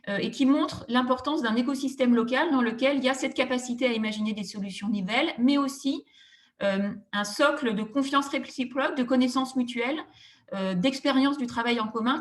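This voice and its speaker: Woman, slightly high voice, formal webinar presenter, analytical, long sentences, frequent filled pauses, repeated words. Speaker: housing policy consultant presenting a study. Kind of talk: semi-scripted webinar presentation, formal and explanatory.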